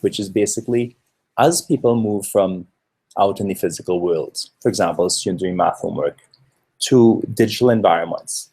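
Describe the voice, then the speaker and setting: A man's voice: deep voice, a little mellow, slightly rough. A man in his thirties from Trinidad giving an earnest, explanatory conference presentation with occasional fillers. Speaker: researcher presenting a talk.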